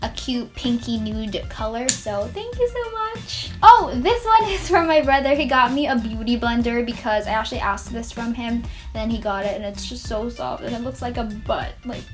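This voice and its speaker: A woman's voice: on the high side, animated, normal speed, few pauses, soft background music. A young woman from the Philippines chatting casually to camera, bubbly and gushing, with many fillers. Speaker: beauty vlogger.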